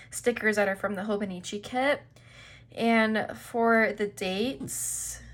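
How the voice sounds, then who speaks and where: A young woman, a little stuffed up, chatting casually through a planner flip-through with fillers and small laughs. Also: mid-range voice. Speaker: planner video creator.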